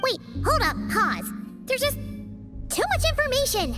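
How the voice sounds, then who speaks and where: A woman voicing a small, chatty, excitable female fairy companion in a game cutscene. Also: extremely high pitch, big-room sound, over loud music, exaggerated voice. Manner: chatty, blurts out reactions, quick to exclaim.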